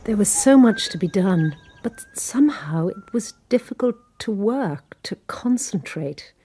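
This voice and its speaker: An actress narrating as a haunted nun, speaking in a hushed, reflective way in a radio drama. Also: female voice, mid-range voice, expressive, measured pace, slight background noise, close to an everyday voice.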